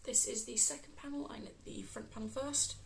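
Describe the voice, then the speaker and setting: A woman with a West Midlands accent, hosting a casual crafting podcast, croaky from a cold and filling pauses with ums. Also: on the high side, steady tone, background noise. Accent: West Midlands English. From England. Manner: chatty, many ums, unhurried.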